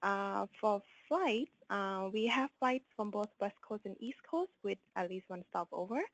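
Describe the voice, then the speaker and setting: A woman's voice: mid-range voice, measured pace, no echo, low-quality recording. A woman from Thailand with a Thai accent, presenting an informative webinar as a guest speaker with frequent fillers.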